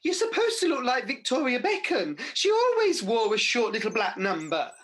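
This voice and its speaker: A woman's voice: on the high side, wildly swooping pitch, moderate pace. A woman playing a coaxing village show organiser in a radio pantomime.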